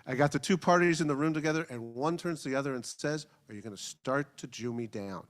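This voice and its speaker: A man answering questions on a panel at a public forum, measured and diplomatic in his phrasing. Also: mid-range voice. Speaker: advocacy organization representative on a panel.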